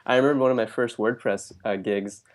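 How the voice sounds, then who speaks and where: A young man raised in New Jersey, a guest answering questions in a relaxed way, with frequent fillers and restarts. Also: medium pitch, fast. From Bangladesh, raised in New Jersey. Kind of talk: casual interview.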